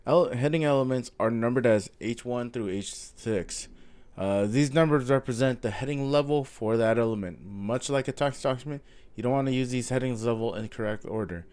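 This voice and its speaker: A man's voice: mid-range voice, normal speed, darkish tone, smooth. A man with a stuffy nose from a cold, casually reading through a coding course aloud with frequent ums and self-corrections. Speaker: self-taught coding vlogger. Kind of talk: casual follow-along reading and thinking aloud.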